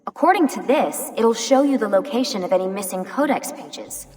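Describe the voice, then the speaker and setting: Actress as a brisk technician, matter-of-fact, some long reverb. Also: female voice, mid-range voice, lively intonation, a little mellow, faint music behind, lightly acted. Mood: businesslike. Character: female tech operator, brisk and practical. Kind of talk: video game dialogue.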